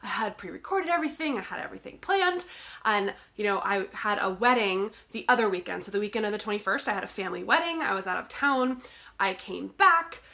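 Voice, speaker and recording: A woman's voice: medium pitch, lively intonation, fairly quick, vocal fry, pushed and bright. A young woman author, congested from the flu, chatting casually to camera with lots of fillers. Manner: chatty, bubbly, many "like" and "um" fillers. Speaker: author and video blogger.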